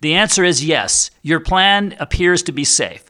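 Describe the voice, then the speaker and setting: A man's voice: on the high side, expressive, unhurried, pushed and bright. A man hosting an informal advice show as a financial advisor, chatty and folksy, talking straight to his listeners. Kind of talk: informal radio-style advice show, reading and answering listener questions.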